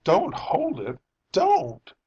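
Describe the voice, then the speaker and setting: A man's voice: mid-range voice, very animated, a little slow, slightly rough, muffled recording, voice pushed up, heavily acted. A man voicing an anxious, plaintive wife and mother who pleads tearfully in a dramatic audiobook reading. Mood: frightened, pleading.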